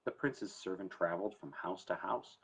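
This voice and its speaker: A man's voice: sits low, normal speed, a bit bright, slightly rough, rough recording. A man giving a conversational, explanatory talk as an attorney who hosts a show, speaking informally and with emphasis. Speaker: estate planning attorney hosting a story time talk. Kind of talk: informal educational talk to camera.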